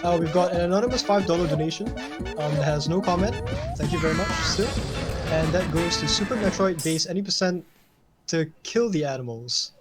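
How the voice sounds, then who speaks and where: Man, event host, casual live announcing, slightly high pitch, very loud game music. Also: expressive, fairly quick. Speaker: marathon host reading donations. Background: video game music.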